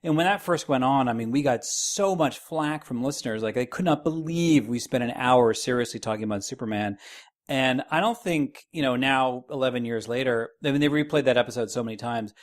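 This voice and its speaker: A man's voice: medium pitch, brisk. A man talking casually as a guest in a podcast interview. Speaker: podcast guest, radio producer.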